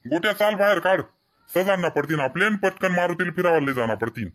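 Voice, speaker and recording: A man's voice: high voice, animated, fast, a bit bright. A man voicing a proud, practical farmer father in a comedy cartoon, plain-spoken and directing, with an Ahirani accent. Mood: proud, affectionate.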